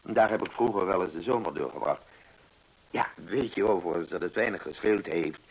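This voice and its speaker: An actor playing a genial pensioner and loyal companion in a radio play, chatty and a little hesitant, with a gravelly, husky voice. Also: male voice, low-pitched, brisk, rough recording. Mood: chatty, informative. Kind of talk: Dutch radio play acting. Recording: old radio play recording.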